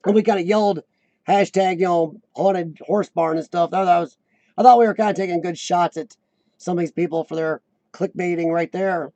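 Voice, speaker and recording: A man in his forties hosting a casual live chat, with a high-pitched squeaky voice worn by coughing, who often clears his throat and restarts his sentences. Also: fairly even intonation, normal speed, dark tone, no room sound. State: voice worn from lung illness and coughing. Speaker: host of a live chat show. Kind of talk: casual live-stream chat reading viewer comments.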